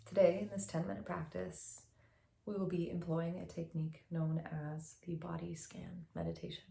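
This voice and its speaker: A woman guiding a meditation as a teacher, speaking in a gentle, soothing, unhurried way with long pauses, in a slightly echoey room. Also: on the low side, level tone, gravelly. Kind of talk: guided meditation, soft instructional narration.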